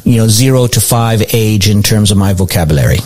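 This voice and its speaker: A man in his fifties, a pastor and church administrator, answering interview questions in a relaxed, storytelling way. He was born in France and grew up in several countries. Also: lowish voice, a little fast. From born in France, raised across several countries. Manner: relaxed, storytelling, chuckles easily.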